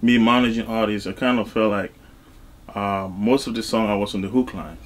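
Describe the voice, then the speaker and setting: A man from Cameroon, talking casually as an interview guest in a halting way, with frequent asides. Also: on the low side. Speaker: interview guest, music artist and label executive.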